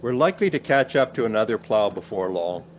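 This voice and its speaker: An actor playing a cautious, pedantic older professor in a naturalistic radio play, formal, dry and reserved in manner. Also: male voice, fairly low pitch, animated, brisk, highs cut off, slight background noise, close to an everyday voice. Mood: dry, measured.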